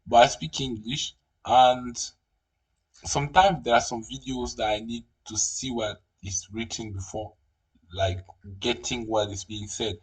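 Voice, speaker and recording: A man's voice: mid-range voice, steady tone, unhurried, distant mic, low-quality recording. A man whose first language is French, talking through a screen tutorial with many fillers and restarts. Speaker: tutorial host. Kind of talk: casual screen-recorded tutorial.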